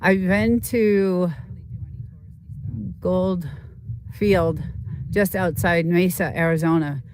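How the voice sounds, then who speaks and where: A woman's voice: on the low side, steady tone, drawn-out words, long pauses, darkish tone. A woman host chatting casually and informally on a livestream, rambling and often repeating herself as she talks to viewers.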